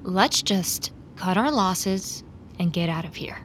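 A woman's voice: lowish voice, animated, slow, noisy background. A woman playing a weary, guarded, centuries-old reluctant killer in an audio drama, speaking tersely and earnestly. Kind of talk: audio fiction drama acting.

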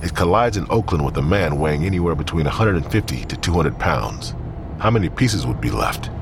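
Very deep-voiced male narrator. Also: animated, creaky, noisy background. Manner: grave, measured storytelling. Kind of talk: scripted narration.

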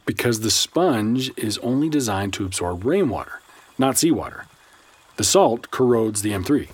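A man's voice: fairly low pitch, very animated, moderate pace, husky. A man playing a principled, skeptical son in a science fiction audio drama, confrontational yet uneasy and worn down. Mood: uneasy, informative.

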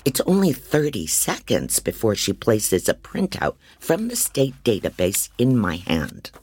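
A man's voice: medium pitch, wildly swooping pitch, unhurried, a little mellow, slightly rough, no echo, exaggerated voice. A man playing a frantic, disorganized private investigator who narrates an audio drama in a rambling, wry, self-doubting way. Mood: wry, brooding. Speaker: narrator and lead actor. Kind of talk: first-person dramatic audio fiction narration.

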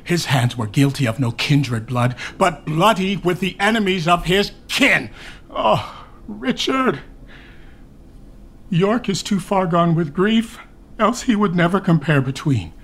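A man playing a grieving old duke whose fairly high voice carries an earnest, pleading dignity. He delivers verse in a classical stage style. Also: expressive, measured pace, exaggerated voice. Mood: grieved. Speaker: actor.

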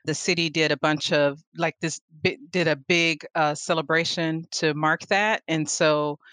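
A woman's voice: low-pitched, a little slow, dry recording. A woman in her forties, a guest artist telling stories in a casual interview, laughing often. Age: 40s. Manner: animated storyteller, laughs often.

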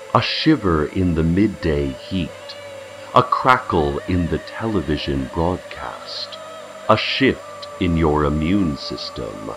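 An ominous radio host in an audio drama. His voice is very deep and he speaks slowly, with solemn, portentous weight. Soft music sits behind him. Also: full character voice, low-quality recording. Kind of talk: fiction podcast radio-host narration.

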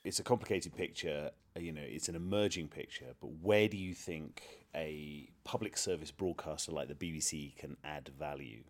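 A man's voice: a bass voice, moderate pace. A man hosting an interview, putting considered questions to a guest. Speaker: interviewer, podcast host.

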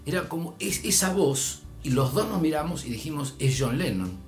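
A man's voice: a little high, normal speed, faint music behind. Older argentine man telling a story. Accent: Argentine Spanish. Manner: animated, voice rising and dropping with the story. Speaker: storyteller guest. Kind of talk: casual anecdote.

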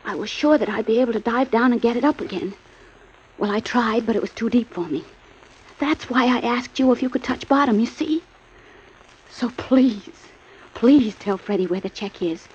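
An actress playing a scheming young woman begging her lover in a 1940s radio drama, pleading and breathy. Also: fairly high pitch, normal speed. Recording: dull old radio recording.

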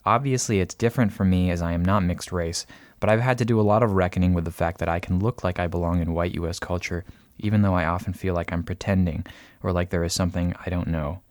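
A man hosting a casual podcast, reading out a listener's letter and talking thoughtfully with many pauses and fillers. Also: deep voice, steady tone, no echo. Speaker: podcast host.

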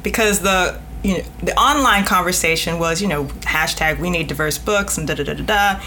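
Low-voiced woman in a casual interview. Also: a little fast, bright, forceful tone, slight background noise. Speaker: podcast guest, editor and podcaster.

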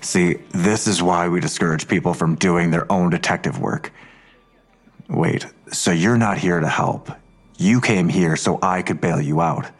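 A man's voice: deep voice, measured pace. A man playing a weary, skeptical investigator, sardonic and dismissive, in a naturalistic audio drama. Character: Blossom, weary skeptical male investigator, a father.

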